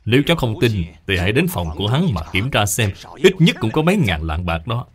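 A man's voice: lowish voice, very animated, brisk. A man voicing a suspicious, greedy, grudging uncle in a cartoon, scornful and insinuating.

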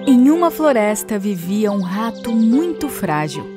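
A woman's voice: medium pitch, sing-song, unhurried, over music. A woman narrator reading scripted fables for children.

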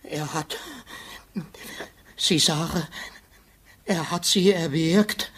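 A shaken prison warder in a 1950s radio play. He speaks slowly and haltingly, deferential to his superiors. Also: fairly high pitch, a bit bright, heavily acted. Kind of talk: 1950s German radio drama acting.

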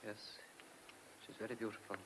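A man's voice: fairly quick, fairly bright tone, lightly acted. Man playing polite nervous stranger in a radio play, hesitant, evasive, faint noise behind him. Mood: guarded. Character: guarded, uneasy, courteous male stranger, a war veteran. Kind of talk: radio drama acting. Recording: background noise on radio drama.

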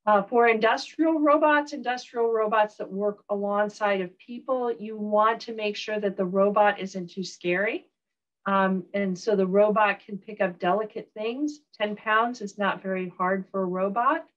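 A woman professor with a Southern US accent giving a recorded online lecture, relaxed, with frequent ums and uhs. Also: mid-range voice, moderate pace, dull audio.

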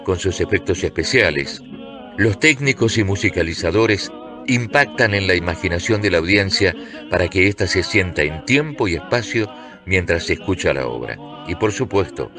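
A man reading scripted documentary narration. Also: low-pitched.

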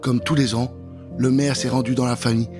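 A man's voice: mid-range voice, flat delivery, a little fast, music in the background. A man in his thirties telling a children's Halloween story in a dramatic, suspenseful way at a studio microphone. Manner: theatrical, suspenseful. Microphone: studio microphone with pop filter.

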